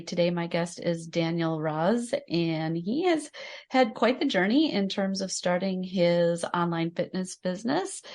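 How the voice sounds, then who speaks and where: A woman's voice: fairly low pitch, creaky, darkish tone. A woman in her thirties hosting a small-business podcast, chatty and thinking aloud with fillers. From Chicago area, United States. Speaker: podcast host. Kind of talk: interview podcast.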